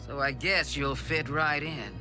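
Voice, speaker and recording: An actor playing a stern male commander of a criminal task force, speaking curtly and scolding, in a video game cutscene. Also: a little high. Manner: curt, scolding.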